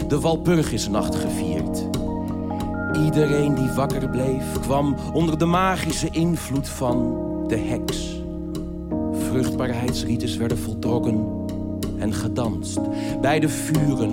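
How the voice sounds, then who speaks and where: An actor playing a solemn narrator in a musical mystery audio drama, measured and ominous in delivery. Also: male voice, mid-range voice, over loud music, lightly acted.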